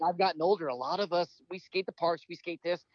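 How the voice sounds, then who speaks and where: A man in his fifties chats in a rambling, upbeat way, full of restarts. His voice sits fairly high for a man. The sound is dry and a little dull and muffled. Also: quick pace, no echo. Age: 50s. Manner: rambling, enthusiastic, trailing restarts. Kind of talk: casual podcast conversation.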